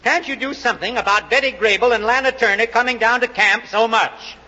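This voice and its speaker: A man acting a bossy, fast-talking army private and schemer in a 1940s radio comedy, blustering and repeating himself insistently. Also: extremely high pitch, pushed and bright, big-room sound, exaggerated voice. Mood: breezy, demanding. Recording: noisy, muffled old radio recording.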